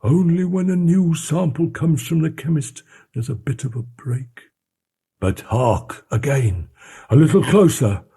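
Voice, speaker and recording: A man voicing a frightened, loyal old male butler who talks in a hushed, fearful way. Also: on the high side, unhurried, slightly rough, pitched above the performer's own voice, heavily acted.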